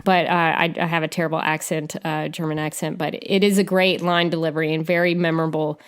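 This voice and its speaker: A woman's voice: deep voice, little pitch movement, fast talker, clear, resonant tone. A woman co-hosting a podcast, discussing film casually and somewhat hesitantly as she thinks aloud. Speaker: podcast co-host.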